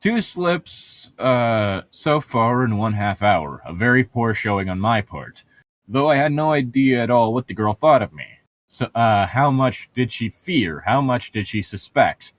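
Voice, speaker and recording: A man's voice: mid-range voice, lively intonation, measured pace, dry recording. A man co-hosting a casual read-aloud, throwing in sarcastic asides and laughing often. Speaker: co-host of a humorous read-aloud commentary.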